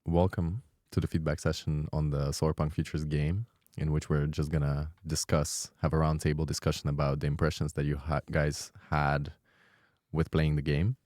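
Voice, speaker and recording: A man's voice: rumbling low, flat delivery, moderate pace, darkish tone, no room sound, studio quality. A man hosting and moderating a casual podcast round-table with questions for guests. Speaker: host, discussion moderator.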